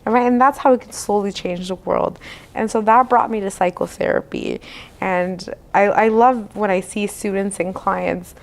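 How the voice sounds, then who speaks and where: A woman counsellor from Canada talks in a relaxed, encouraging way. Her pitch is in the middle range, and she often tags her points with "right?". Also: normal speed, gravelly. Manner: warm encouraging listener, frequent "right?" tags. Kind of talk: casual conversation.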